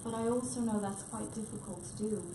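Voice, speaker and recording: A woman's voice: mid-range voice, fairly even intonation, fairly quick. Hesitant woman on an echoey panel. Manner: thoughtful, hesitant, many restarts. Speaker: panelist researcher. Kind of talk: panel discussion remarks. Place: conference room, panel event. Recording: echoey room with noise.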